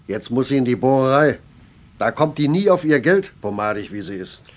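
An actor playing a blunt, sarcastic middle-aged factory master in a German radio play, gruff and colloquial. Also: male voice, mid-range voice, lively intonation, normal speed, natural voice. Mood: irritated, mocking. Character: grumbling, blunt older factory master, male.

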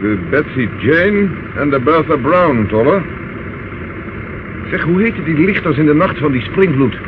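An actor in a Dutch radio play, playing a commanding police inspector with a brisk, dryly probing manner. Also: male voice, on the high side, expressive. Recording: dull, noisy old radio recording.

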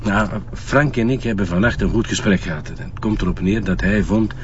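An actor with a Flemish Belgian accent playing a loyal, level-headed detective sidekick, talking dryly and conversationally in a radio drama. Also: male voice, lowish voice, normal speed, lo-fi sound, slight background noise, natural voice. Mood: confiding. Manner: dry, questioning, conversational. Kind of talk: naturalistic radio drama dialogue.

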